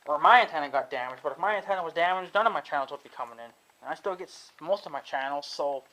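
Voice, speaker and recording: A man's voice: a little high, expressive, quick pace, pushed and bright, slight background noise, low-quality recording. A young man, thinking aloud in a casual vlog, unsure of himself and swearing freely. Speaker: vlogger. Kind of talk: rambling casual talk to camera.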